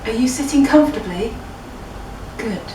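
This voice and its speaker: A woman's voice: a little high, expressive, moderate pace, mellow, a little hiss or hum. Woman reading aloud in an echoey room. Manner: theatrical, giggly, playfully hammy. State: drunk. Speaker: reader of fan fiction for a comedy web show. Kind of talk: jokey dramatic story reading. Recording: echoey room, distant mic, music underneath.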